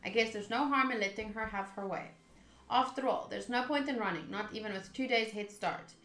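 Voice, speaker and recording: A woman's voice: on the high side, brisk, fairly bright tone. A woman streaming a game, reading the story aloud and adding casual commentary. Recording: roomy echo, faint game music behind.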